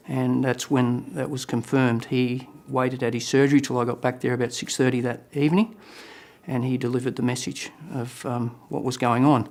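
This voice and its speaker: A man's voice: mid-range voice, darkish tone. A man in his late fifties telling his own story in a matter-of-fact way. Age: 50s. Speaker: patient sharing his story. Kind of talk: personal testimony.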